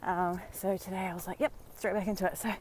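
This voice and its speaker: An Australian woman vlogging live on a walk outdoors, slightly hoarse from a sore throat, talking in a chatty, encouraging way with many fillers. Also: fairly low pitch, level tone, fast, a little mellow, slight background noise. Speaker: vlogger and dating coach. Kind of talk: casual live-stream talk.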